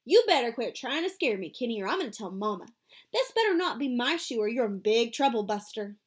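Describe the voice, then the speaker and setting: Lady doing a high shrill little girl, bossy and scared, loud. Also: sing-song, a little fast. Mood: threatening.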